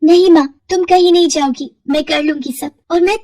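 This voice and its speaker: Woman voicing an earnest high-pitched young daughter. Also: steady tone, moderate pace, darkish tone, no room sound, studio quality. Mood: insistent, caring. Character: eager young daughter, earnest, tearful, devoted, hardworking.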